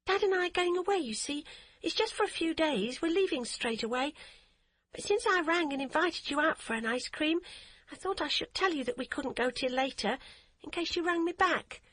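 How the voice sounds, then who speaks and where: A woman doing an eager little girl, high and quick. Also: lively intonation, a bit bright, no echo, rough recording. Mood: earnest. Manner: eager, breathless rush of words. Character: earnest anxious eight-year-old girl.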